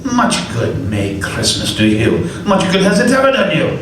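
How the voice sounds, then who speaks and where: A man playing a miserly, bitter old moneylender in a live radio play, gruff and scornful. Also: high voice, wildly swooping pitch, normal speed, very echoey, distant mic, background noise.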